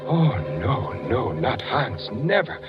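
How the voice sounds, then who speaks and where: An actor playing an anxious spy posing as a German architect, narrating and brooding aloud in 1940s radio drama style. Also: male voice, medium pitch, very animated, slow. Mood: anxious, doubting. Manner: brooding, questioning himself aloud. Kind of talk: 1940s radio acting, first-person narration and dialogue. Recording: muffled 1940s radio recording, music behind.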